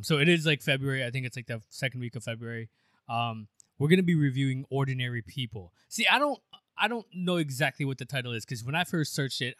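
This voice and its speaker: A man co-hosting a podcast in loose, rambling casual chat, quick to laugh. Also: medium pitch, fairly bright tone, no room sound, clean studio sound. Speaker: podcast co-host.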